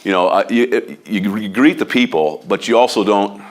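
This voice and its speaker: A man with a Midwestern American accent giving a casual training talk to real estate agents, loud, blunt and a bit overbearing. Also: lowish voice, normal speed. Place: office meeting room.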